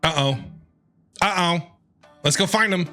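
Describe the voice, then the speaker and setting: A man giving casual, playful live reactions while streaming a video game, excitable and quick to respond. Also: high voice. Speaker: gaming streamer. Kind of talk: casual live reaction commentary over gameplay. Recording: game music behind the voice.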